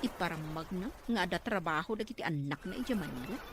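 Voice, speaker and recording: A woman's voice: lowish voice, expressive, fast talker, noisy background, lightly acted. A woman acting an eager young graduate in an Ilocano drama, speaking with an Ilocano accent. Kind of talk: amateur Ilocano radio-style drama acting.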